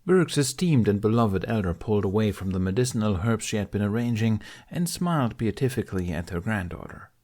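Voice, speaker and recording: A man's voice: fairly low pitch, animated, fairly quick, few pauses, a little mellow. A man reading scripted story narration as an audiobook narrator.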